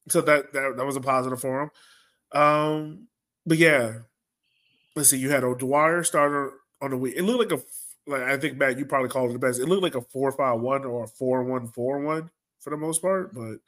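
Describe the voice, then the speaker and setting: A man's voice: mid-range voice, a bit bright. A man hosting a podcast with casual sports chat, talking like a rueful, self-deprecating fan. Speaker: podcast host.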